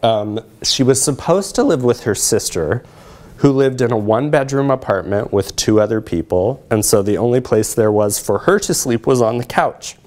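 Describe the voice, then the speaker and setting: An American man in his thirties speaks at a medium pitch. He gives an academic presentation in a lecture room, explaining his findings in a measured manner with pauses. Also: animated, darkish tone, a little hiss or hum.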